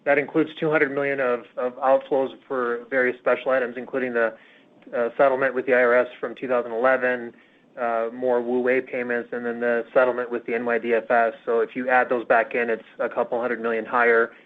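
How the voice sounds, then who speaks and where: A man speaking as a finance executive, answering questions on an earnings call in a measured, hesitant way with pauses. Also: medium pitch, level tone. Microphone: phone conference call. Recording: tinny, narrowband conference phone line.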